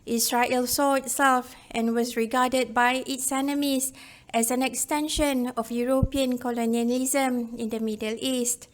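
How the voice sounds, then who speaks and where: A woman's voice: a little high, little pitch movement, unhurried. A woman reading a scripted book review as a narrator.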